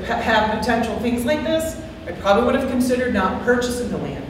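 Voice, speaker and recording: A woman with a loud voice giving earnest, worried public comment at a town board hearing, repeating her points. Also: medium pitch. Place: large town meeting hall, far from the mic. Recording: echoey hall, distant mic, faint hiss.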